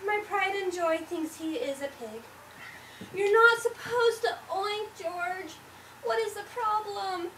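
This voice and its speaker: A woman's voice: extremely high pitch, slow pace, a bit bright, pitched above the performer's own voice, exaggerated voice. A woman voicing an exasperated, melodramatic mother dog who wails and pleads in a children's storybook read-aloud. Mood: wailing, dismayed. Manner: dramatic wailing and pleading. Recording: roomy, distant mic, faint background noise.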